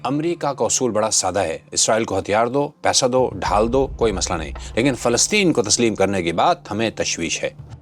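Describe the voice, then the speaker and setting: A man with a fairly low voice presents scripted commentary as a channel host. His delivery is rhetorical and dramatic with a sardonic edge. Music plays faintly behind him. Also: expressive, moderate pace. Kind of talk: scripted opinionated political commentary. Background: background music.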